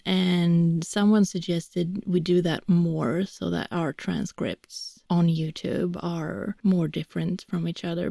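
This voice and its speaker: Whispering Swedish woman, soft and slow. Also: lowish voice, vocal fry, slightly rough, dry recording, clean studio sound. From Sweden. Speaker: voice actor. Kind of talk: whispered ASMR chat.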